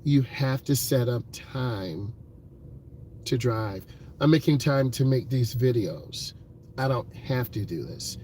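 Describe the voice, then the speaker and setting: A man's voice: medium pitch, slow pace, a little mellow, slight background noise. A man working as a driving instructor, talking to the camera from inside a car in an earnest, motivational way, with many fillers and repeated points.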